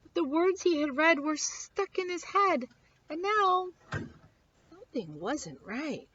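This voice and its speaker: A woman reading a children's picture book aloud expressively, with dramatic, drawn-out emphasis on key words. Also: sits high, sing-song, a bit bright. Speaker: story time reader. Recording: dull lo-fi sound.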